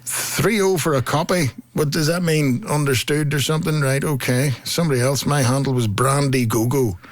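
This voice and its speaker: A man's voice: mid-range voice, moderate pace, dry recording. A middle-aged man with a Belfast accent chatting casually as a host, reading out messages and telling jokey anecdotes, acting out what people said. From Northern Ireland. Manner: jokey, acting out reported remarks. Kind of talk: casual comic chat and anecdotes.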